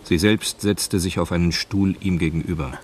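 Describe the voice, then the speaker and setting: Deep-voiced male radio drama narrator. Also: steady tone, moderate pace, darkish tone, slight background noise.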